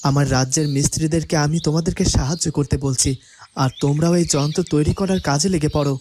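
A man's voice: a little high, fairly even intonation, fast talker, mellow, a little hiss or hum, natural voice. A man playing a firm young prince. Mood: insistent. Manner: firm and insistent. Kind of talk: cartoon dubbing.

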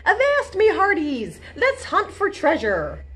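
A bold little girl playing pirate captain in a storybook, acted out by a woman. She is bossy and theatrical, with a high, swooping voice and stretched-out commands. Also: wildly swooping pitch, fairly bright tone, heavily acted. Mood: commanding. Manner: theatrical, commanding, drawn-out words.

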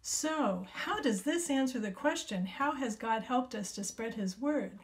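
A woman in her fifties from the United States, a missionary recording a clear, gentle video message for children from a small room at home. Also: a little high, expressive, a little slow. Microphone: webcam at desk.

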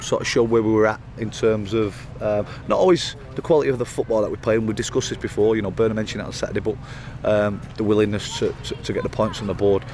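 Fast-talking football manager, noisy pitchside. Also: male voice. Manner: rambling, frequent restarts and fillers. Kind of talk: post-match interview. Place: outdoors by a floodlit football pitch at night. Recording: outdoor interview with loud background noise.

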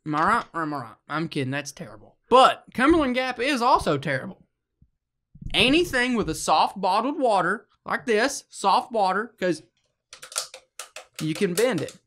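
A man's voice: sits high, sing-song, moderate pace, pushed and bright. Playful young man bantering on a podcast. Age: 20s. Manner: playful, mock-dramatic. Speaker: podcast host. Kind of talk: casual joking podcast banter. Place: small room indoors. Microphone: handheld-close podcast microphone on a stand.

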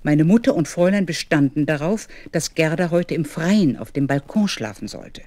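A woman's voice: sits low, expressive, moderate pace, dark tone, no room sound. An actress playing a grown woman who narrates her childhood memories in a children's radio play. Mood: reminiscing.

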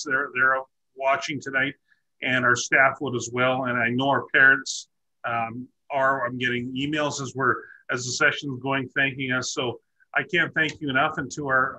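A man's voice: mid-range voice, bright, forceful tone. A man in his forties moderating a school district town hall over a video call, courteous and full of fillers. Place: office with framed certificates.

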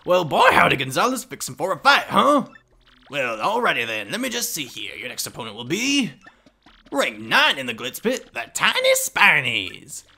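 Man doing a folksy old promoter: boisterous, drawling, lively delivery. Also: a little high, normal speed, creaky, heavily acted.

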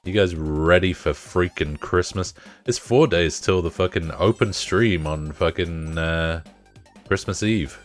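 Young male streamer, laid-back and profane, stuffy-nosed, deep, faint music underneath. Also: unhurried. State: congested, bunged up. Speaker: game streamer. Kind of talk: casual live-stream chat.

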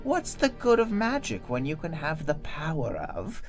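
A woman's voice: low-pitched, sing-song, moderate pace, darkish tone, soft background music. A woman voicing a small, scheming bird-like male monster villain in a cartoon comic dub, taunting and theatrical.

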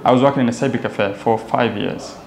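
A man's voice: mid-range voice, fairly quick, gravelly. Young Somali man in an echoey room. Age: young adult. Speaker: interview guest, entrepreneur. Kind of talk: casual personal storytelling in an interview. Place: indoor office lounge with glass walls. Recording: echoey room, faint background noise.

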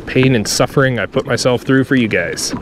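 A man's voice: medium pitch, fairly quick. A man vlogging from his kayak on open water, chatting casually to viewers while he fishes. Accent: Canadian. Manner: laid-back, chatty, talks to viewers as he fishes. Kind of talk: casual on-water narration to camera. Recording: clear outdoor water and wind noise. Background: wind and water noise.

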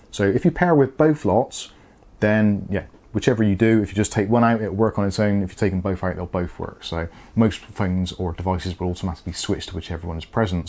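A man's voice: fairly low pitch, mellow. A British man in his forties giving a casual, conversational product review to camera, explaining things as he goes. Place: small home studio room, indoors.

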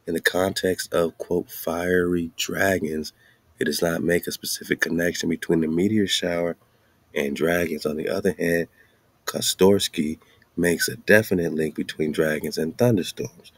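Deep-voiced American man reading aloud. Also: fairly even intonation, moderate pace, dry recording. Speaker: host. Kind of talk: reading a text aloud with off-the-cuff commentary.

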